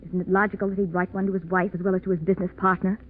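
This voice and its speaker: Actress as smug, scheming 1940s femme fatale. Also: female voice, lowish voice, flat delivery, rapid-fire, close to an everyday voice. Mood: smug, taunting. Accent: American. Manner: purring, mocking politeness. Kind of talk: 1940s radio acting. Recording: muffled, noisy 1940s radio recording.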